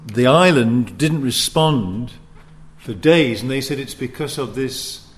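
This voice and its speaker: Slow, deliberate male preacher. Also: medium pitch, lively intonation. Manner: deliberate, emphatic short phrases with pauses. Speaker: preacher teaching on ministry. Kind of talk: sermon teaching with scripture and anecdotes.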